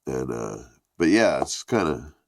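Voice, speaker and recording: A man's voice: rumbling low, big pitch swings, measured pace, slight background noise. A man with a stuffy, congested voice giving a profane, rambling, laid-back casual review. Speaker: product reviewer.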